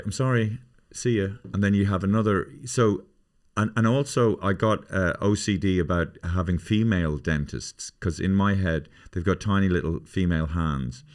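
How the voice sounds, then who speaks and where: Deep-voiced man in his fifties. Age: 50s. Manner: profane, rambling, self-mocking. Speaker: podcast host. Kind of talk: casual comedic chat.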